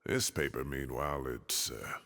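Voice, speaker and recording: A man playing a grumbly, theatrical retired old professor with a gravelly voice in a video game. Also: rumbling low, moderate pace, sharp tone, heavily acted. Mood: pondering. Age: older. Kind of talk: animated video game dialogue.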